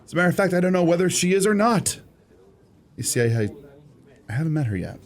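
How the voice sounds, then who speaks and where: An actor playing an earnest, romantic and easily exasperated shop clerk in a radio play. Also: male voice, fairly high pitch, sing-song, fast. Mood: shy, smitten. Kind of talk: radio theatre acting.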